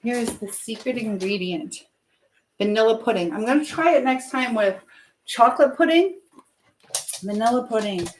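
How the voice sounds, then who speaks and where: A woman's voice: on the high side, normal speed, pauses often. A woman chatting casually to livestream viewers while she cooks at home, distracted and hopping between tasks and comments. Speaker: live streamer. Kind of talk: casual chat with live viewers while cooking. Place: home kitchen. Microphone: phone livestream, moving nearer and farther. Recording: echoey kitchen with faint background noise.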